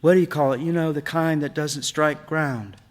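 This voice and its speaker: A man's voice: a little high, fairly even intonation, normal speed, pitched above the performer's own voice, close to an everyday voice. A man reading a story aloud, voicing a grieving, moody young son with emphatic, exasperated delivery. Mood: curious, explaining. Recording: long hall reverb of a stage.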